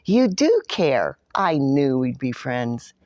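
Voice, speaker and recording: Woman voicing a gentle, friendly storybook dog. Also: rumbling low, big pitch swings, slow pace, dry recording, lo-fi sound, exaggerated voice. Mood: fond, knowing. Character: Lucky, friendly, loyal female dog. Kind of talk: picture-book read-aloud.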